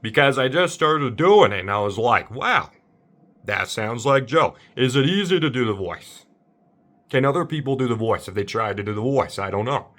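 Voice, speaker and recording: Male, casual vlogger type, mid-pitched, bewildered, rambling and repetitive delivery. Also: expressive, moderate pace, fairly bright tone. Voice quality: slightly deep voice. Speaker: amateur voice impressionist. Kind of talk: casual rambling monologue to viewers.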